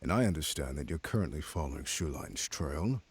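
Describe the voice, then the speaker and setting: Deep, husky crime boss. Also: male voice, lively intonation, heavily acted. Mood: condescending. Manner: condescending, measured, cryptic. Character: sinister, smug crime boss abducting people for talks. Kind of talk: scripted video game voice acting.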